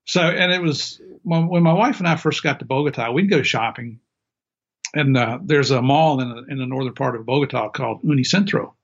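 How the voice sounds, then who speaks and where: Older man, Southern country accent, folksy self-deprecating storyteller, medium pitch, dull lo-fi sound. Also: brisk. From Middle Tennessee and Southern West Virginia, USA. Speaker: former drug enforcement agent, interview guest. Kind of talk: casual interview storytelling.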